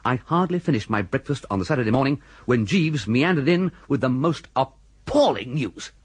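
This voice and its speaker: An actor playing an excitable, amiable, dim young gentleman with an upper-class English accent, narrating and talking in a radio comedy. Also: mid-range voice, moderate pace, slightly rough, dry recording. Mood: chatty narrating. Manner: posh, exclamatory period slang.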